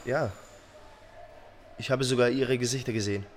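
A man acts out a humble immigrant farmhand turned witness in a radio crime play. He speaks at a medium pitch in halting, simple sentences, solemn and sincere, over faint background noise. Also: fairly even intonation, lightly acted. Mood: solemn, resolute. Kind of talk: radio crime drama acting.

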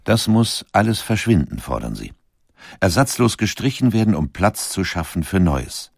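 Deep, very animated male narrator. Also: moderate pace, gravelly, husky, no room sound, studio quality. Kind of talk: scripted documentary narration with dramatized quotations.

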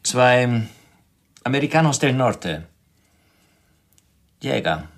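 An actor playing a polite, guarded Mexican hotel employee with a formal, courteous manner in a radio play. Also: male voice, medium pitch, very animated, slow pace. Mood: courteous, matter-of-fact.

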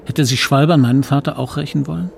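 An actor playing a grown man looking back on his boyhood, narrating a radio play in a reflective, measured way. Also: mid-range voice, vocal fry, a little hiss or hum, natural voice. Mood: brooding.

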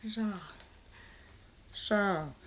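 An actress playing a devoted theatrical wife of a troupe leader in a German radio drama, emotional and dramatic in delivery. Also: female voice, medium pitch, drawn-out words. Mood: hurt.

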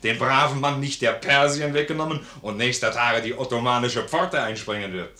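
Schauspieler als polternder, forscher Soldatenkamerad. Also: male voice, medium pitch, normal speed, sharp tone, close to an everyday voice. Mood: excited, confiding. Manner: hearty, jovial, exclamatory. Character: boisterous, generous, war-loving old-style sergeant comrade. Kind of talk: 1950s German radio play acting. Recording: roomy 1950s radio studio recording.

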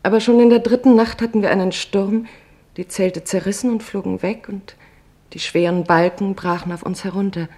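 An actress playing a haunted camp survivor, giving grave, measured testimony in a husky, creaky voice. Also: female voice, mid-range voice, fairly even intonation, darkish tone, natural voice. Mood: agitated recollection. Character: haunted woman survivor of a concentration camp recounting horrors. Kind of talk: scripted dramatic testimony.

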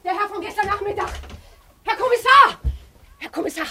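An actress playing an agitated, excitable woman of the house in a radio drama. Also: very high voice, brisk, exaggerated voice. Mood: frantic, accusing. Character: agitated female house resident denouncing a suspect. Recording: noisy, distant from the microphone.

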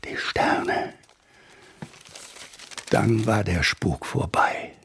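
An actor playing an excitable, sensation-hungry newspaper boss in a German radio drama, husky, breathy and theatrical. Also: male voice, on the low side, drawn-out words, slight background noise, exaggerated voice. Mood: awestruck.

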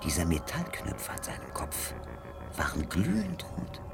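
Hushed, creaky, awed investigator. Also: male voice, a bass voice, wildly swooping pitch, a little slow, full character voice. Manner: hushed, tense. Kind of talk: radio play acting.

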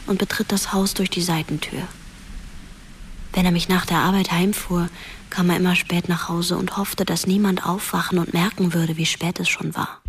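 An actress playing a dead teenage girl who speaks in a tender, hushed, intimate radio drama monologue. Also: medium pitch, background noise, lightly acted. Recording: music under the voice. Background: prominent music.

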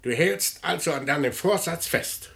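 A man's voice: a little high, normal speed, creaky, fairly bright tone. A man playing a stern, commanding older father in a German radio play, formal and imperious in manner. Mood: cold, stern.

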